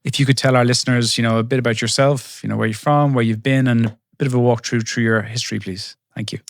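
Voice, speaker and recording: Man, podcast host, medium pitch, friendly and chatty, dry studio sound. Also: fairly even intonation, creaky, no room sound. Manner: friendly, conversational, quick asides. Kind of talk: podcast interview and scripted intro.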